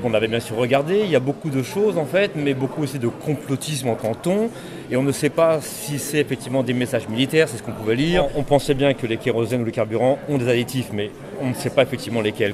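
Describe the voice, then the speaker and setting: A middle-aged man talks at a brisk pace in a mid-range voice, measured and reflective. A crowd chatters loudly around him. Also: medium pitch. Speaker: audience member. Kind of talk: street interview. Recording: busy crowd behind.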